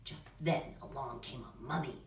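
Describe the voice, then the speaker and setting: A woman's voice: rumbling low, moderate pace. A woman drama teacher presenting and narrating for children's theater, in instructional talk and storytelling. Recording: echoey room, distant microphone.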